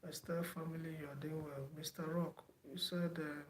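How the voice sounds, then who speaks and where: A soft-spoken man closing an online driving theory class, talking unhurriedly with many fillers and repeats. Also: a little high, level tone, moderate pace, slight background noise. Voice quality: soft-spoken, says he does not shout. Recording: echoey room with faint background ambience.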